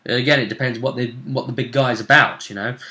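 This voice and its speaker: A man's voice: mid-range voice, fairly even intonation, brisk, pushed and bright. A man hosting a tabletop role-play show, previewing match-ups in a casual, speculative commentary, recorded with a roomy echo.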